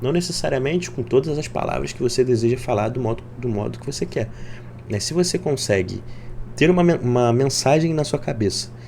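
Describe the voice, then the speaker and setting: A man with a dark, mellow voice walks through his language study in a casual vlog. He thinks aloud and often repeats a word while he searches for what comes next. A faint background noise sits under his voice in a home room. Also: medium pitch, lively intonation, brisk, creaky. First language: Portuguese. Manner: thoughtful, repeats words while searching for them. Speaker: vlogger learning German. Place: home room, moves camera stand.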